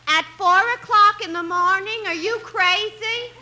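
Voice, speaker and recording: A woman's voice: very high-pitched, unhurried, pushed and bright, full character voice. A woman playing a sassy, sarcastic telephone operator who wisecracks dryly, in a very noisy 1950s radio comedy. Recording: very noisy old radio recording.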